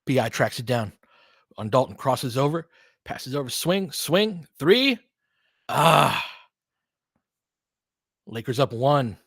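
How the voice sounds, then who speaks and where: Man, medium pitch, informal fan play-by-play, clipped basketball calls, partisan, excitable on big plays, dry recording. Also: slow pace, creaky, pauses often, slightly rough, no room sound. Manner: terse, clipped phrases. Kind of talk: informal live basketball commentary.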